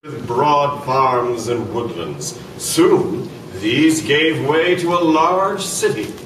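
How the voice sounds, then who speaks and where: A man's voice: medium pitch, animated, slow pace. A man reading scripted old-time radio drama narration in a theatrical storytelling manner. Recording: echoey room, distant mic, background noise.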